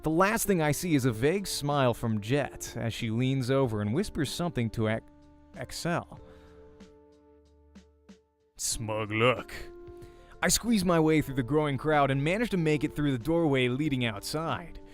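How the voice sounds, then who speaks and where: A man's voice: mid-range voice, expressive, unhurried. Man narrating a visual novel, music underneath. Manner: informal, breaks off to comment on slips. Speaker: voice actor reading a game. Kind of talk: first-person story narration of visual novel. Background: faint game music.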